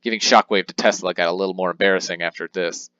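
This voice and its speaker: A man's voice: deep voice, fairly even intonation, quick pace, a bit bright, no echo, dull audio. A young man casually commenting on his playthrough of a handheld game, rambling and poking fun at himself. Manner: rambling, self-deprecating, reads game text aloud in voices. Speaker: let's play host.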